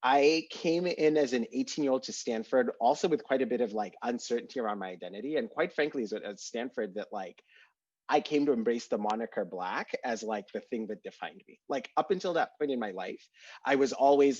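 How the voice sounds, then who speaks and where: Man from Kenya as a panel guest, husky voice, earnest and reflective, on a dry dull video call. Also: medium pitch, a little fast, darkish tone, dry recording. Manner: earnest, many "like" fillers. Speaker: alumni panelist. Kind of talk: candid reflective panel answer.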